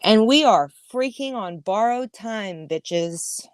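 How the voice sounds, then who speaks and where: A woman's voice: mid-range voice, expressive, slow, no room sound. A woman in her thirties hosting a podcast, talking candidly and emphatically in a casual livestream monologue, with frequent swearing. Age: 30s. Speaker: podcast host.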